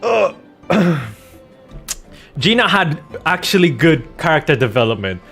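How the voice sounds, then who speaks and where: A man's voice: fairly high pitch, big pitch swings, unhurried, vocal fry, a bit bright, soft background music. A man giving casual gameplay commentary as a streamer, slangy and profane in a bantering way. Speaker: game streamer and voice actor.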